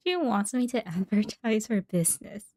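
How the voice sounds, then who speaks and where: A woman's voice: medium pitch, animated, normal speed, a little mellow, no room sound. A woman game streamer reacting casually to gameplay and chatting to viewers. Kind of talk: casual live gameplay reaction and reading along.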